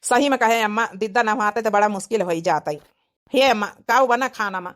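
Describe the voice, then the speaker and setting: A woman's voice: medium pitch, a little fast, fairly bright tone, close to an everyday voice. A woman voicing a hot-headed, grumbling young village husband in an Awadhi comedy cartoon, indignant and complaining, with an Awadhi accent. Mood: casual. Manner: indignant, complaining rural speech.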